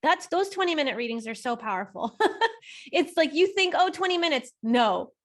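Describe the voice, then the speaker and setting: A woman's voice: a little high, big pitch swings, moderate pace. A woman co-hosting a live webinar in a casual, chatty, enthusiastic way with frequent giggles. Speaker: webinar co-host. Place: online video call.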